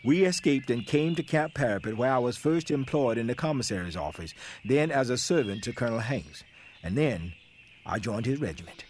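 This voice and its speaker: Man acting young escaped slave, steady testimony, faint noise. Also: medium pitch, a little fast, lightly acted. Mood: plain, steady recounting. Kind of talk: dramatic reading of historical testimony.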